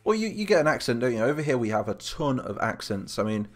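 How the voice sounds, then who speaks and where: A man's voice: medium pitch, sing-song, fast talker. A man with a Lincolnshire English accent, casually chatting and reading out viewer comments during a live stream while building a game map. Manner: chatty, rambling, reads chat comments aloud.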